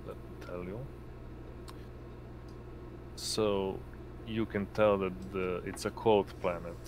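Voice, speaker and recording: A man narrating as game master in a tabletop game, halting and pausing mid-sentence. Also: low-pitched. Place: indoors, online voice call. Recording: noisy home mic, steady hum.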